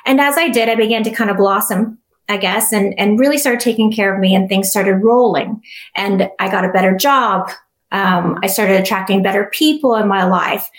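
Soft-voiced woman in an echoey room. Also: medium pitch, moderate pace. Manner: reflective, earnest, speaks in fits and restarts. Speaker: guest. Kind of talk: personal story in an interview.

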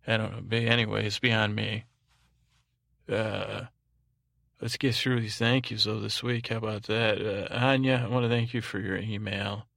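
A man's voice: lowish voice, moderate pace, vocal fry, no room sound, clean studio sound. A man hosting a bedtime podcast in a soothing, soporific drone, meandering and stumbling through his monologue as he interrupts himself. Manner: droning, monotone. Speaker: podcast host.

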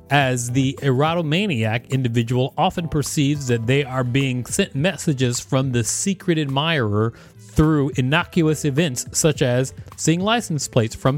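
A man co-hosting a podcast, reading an article aloud and breaking in with joking commentary. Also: medium pitch. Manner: animated, joking asides. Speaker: podcast co-host.

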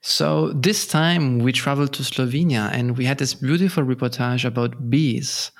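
A man with a medium-pitched voice hosts a podcast. He talks conversationally, framing the discussion and handing questions over to his guest. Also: normal speed, creaky. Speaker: podcast host. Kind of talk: conversational interviewing.

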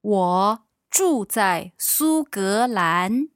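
A woman from China, a native Mandarin speaker, teaching on a podcast with deliberate model sentences. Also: medium pitch, lively intonation, dry recording, clean studio sound. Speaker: language teacher. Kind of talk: podcast language lesson.

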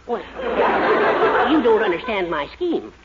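A man's voice: sits high, very animated, a little slow, over a noisy crowd, very noisy. An actor playing a confident, scheming older American man in a 1940s radio comedy. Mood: confident plotting. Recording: muffled 1940s radio recording.

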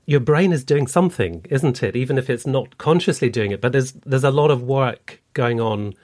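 A man's voice: medium pitch, moderate pace, a little mellow. Musing male interviewer with tag questions. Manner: musing, builds ideas aloud with tag questions. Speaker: podcast interviewer. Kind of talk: conversational interview.

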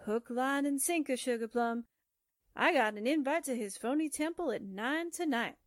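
A woman's voice: high voice, animated, normal speed, no room sound, studio quality. An actress playing a brash, cheeky, scatterbrained newspaperwoman with perky delivery in a 1940s-style radio drama. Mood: wisecracking. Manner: perky, wisecracking.

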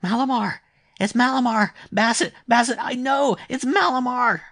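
A frantic, feverish little boy in a storybook reading. The voice is pushed very high and loud, crying out with urgent, insistent excitement, and it is recorded close and dry. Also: moderate pace, dry recording, heavily acted. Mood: delirious, urgent. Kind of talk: dramatised audiobook reading.

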